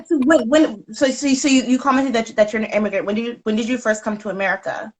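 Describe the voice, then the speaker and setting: A woman's voice: fairly high pitch, quick pace, darkish tone. A woman hosting an interview who asks her questions with a hesitant start, often repeating her first words. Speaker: interviewer, host.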